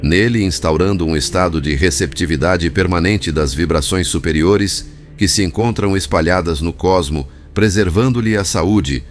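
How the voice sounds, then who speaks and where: A Brazilian man reading a spiritist book as scripted narration, in a formal, measured manner. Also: low voice. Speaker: audiobook narrator. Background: background music.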